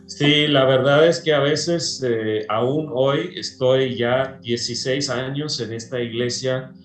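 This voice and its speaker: Slow-talking man, pastor. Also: medium pitch, steady tone, roomy sound, soft background music. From Peru. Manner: slow, hesitant with many fillers. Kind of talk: casual interview chat.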